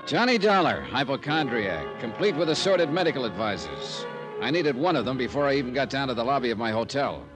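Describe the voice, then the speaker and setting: Radio detective lead , played by a man: American, wry, tough, clipped, widely animated delivery over music. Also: mid-range voice, fairly quick, natural voice. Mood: dry, urgent. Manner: wry, clipped, hard-boiled. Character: wry, tough American freelance insurance investigator. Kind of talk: 1950s radio detective acting and narration.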